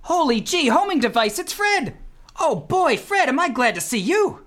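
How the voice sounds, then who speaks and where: A man playing an excitable, needy washed-up bandleader in a comic radio play, melodramatic and given to flowery exclamations. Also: very high-pitched, moderate pace, exaggerated voice. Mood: excited.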